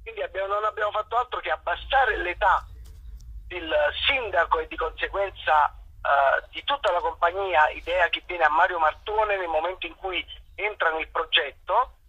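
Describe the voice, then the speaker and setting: A man from Naples speaks over a muffled phone line. His voice sits high, bright and husky. He talks thoughtfully, pausing and reaching for the right words. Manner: thoughtful, searching for words with fillers. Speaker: actor guest. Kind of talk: phone interview. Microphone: telephone.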